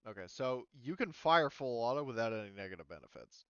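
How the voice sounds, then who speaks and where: A man running a tabletop roleplaying game as game master, casual and halting as he thinks aloud. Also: medium pitch, sing-song, a bit bright, smooth, no room sound, highs cut off.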